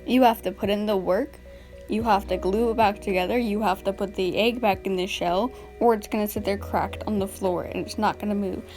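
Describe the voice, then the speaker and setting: A woman recording an early-morning voiceover for a drama commentary video, sounding tired and a little raspy, blunt and exasperated in a casual way with lots of fillers. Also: mid-range voice, fairly even intonation, fairly quick, a little mellow, faint music behind. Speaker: commentary YouTuber. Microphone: home voiceover mic.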